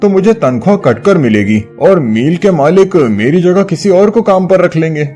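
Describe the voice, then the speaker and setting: A man acts out a humble, ailing father for a moral tale. The voice is a little high, earnest and full of worry. Faint music sits underneath. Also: expressive, normal speed, warm tone. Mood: reassuring. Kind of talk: animated moral-story voice acting.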